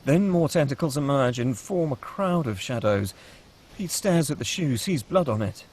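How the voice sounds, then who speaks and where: A man with a husky voice reading scripted screenplay action as the narrator of a table read. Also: medium pitch, lively intonation, moderate pace, a little mellow.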